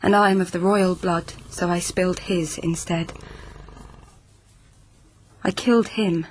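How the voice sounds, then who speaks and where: A woman's voice: lowish voice, steady tone, unhurried, slight background noise, lightly acted. An actress in a radio drama playing an unhinged, murderous royal lady who talks in an eerie, entranced way. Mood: proud, unhinged.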